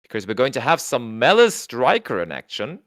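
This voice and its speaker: A man's voice: medium pitch, huge pitch swings, fairly quick. A man with a German accent doing casual livestream commentary, chatting with his viewers, reading their messages aloud and laughing often. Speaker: tournament co-commentator.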